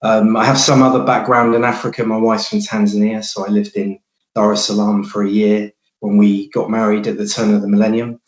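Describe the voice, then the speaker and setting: Man in his forties, low-ish, monotone, steady panel intro, roomy echo. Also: brisk. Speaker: banker.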